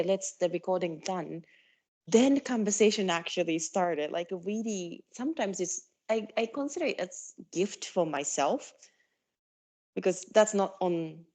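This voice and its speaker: Soft-spoken Japanese woman, lowish voice. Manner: halting, many fillers. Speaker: podcast guest. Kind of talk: casual conversation.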